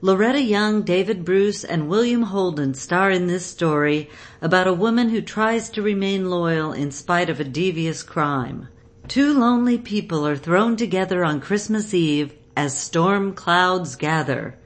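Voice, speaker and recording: A woman delivers scripted introductory narration as an announcer. Her voice sits a little lower than most women's. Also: animated, measured pace.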